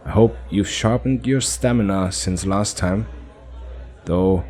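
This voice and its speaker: Man voicing a brash, mocking scavenger woman, pitch lifted. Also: takes it slowly. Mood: teasing, commanding. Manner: flat, businesslike, casually teasing.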